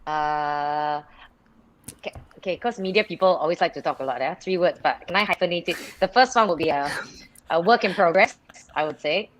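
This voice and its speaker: A woman's voice: fairly low pitch, a little fast, poor audio. A woman from Singapore, a radio presenter, chatting as a guest on a live video call and telling stories with many restarts and laughs. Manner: chatty storyteller, restarts sentences, laughs often.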